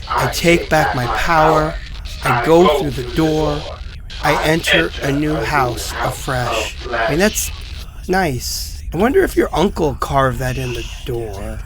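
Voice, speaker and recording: An actor playing an isolated, unwell man leaving halting, confiding, informal messages in a horror audio drama. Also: a little high. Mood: fragile hope. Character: isolated, sick, rambling man enduring supernatural peril.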